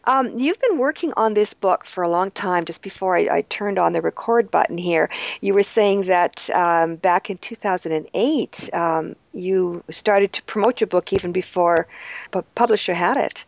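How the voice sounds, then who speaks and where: Gravelly-voiced woman interviewer, dry audio. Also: on the low side, moderate pace, no echo, lo-fi sound. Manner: encouraging, with short affirmations. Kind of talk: interview host.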